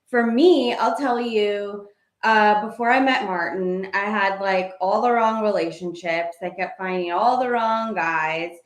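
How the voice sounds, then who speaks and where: A woman co-hosting a motivational talk, speaking in a chatty, direct way as she gives advice. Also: medium pitch. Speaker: co-host. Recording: echoey room.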